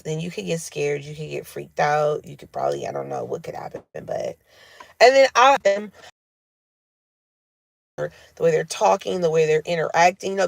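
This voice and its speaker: Gravelly-voiced woman rambling casually. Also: dry recording. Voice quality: voice lowered from smoking cigars. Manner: loose, spiritual, full of fillers. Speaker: vlogger.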